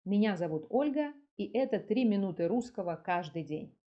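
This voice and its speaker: A woman's voice: mid-range voice, expressive, moderate pace, highs cut off. A woman hosting a language lesson podcast, speaking didactically in separated phrases with repeated examples. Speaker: podcast host, Russian language teacher. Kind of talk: scripted language lesson for learners.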